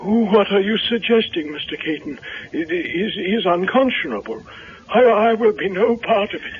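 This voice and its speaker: A flustered clergyman, stammers with indignation. His voice is high for a man and sounds muffled, as on a vintage broadcast. He is agitated and hesitant, in old radio drama style. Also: lively intonation, normal speed, over music. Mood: indignant. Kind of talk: 1970s radio drama acting.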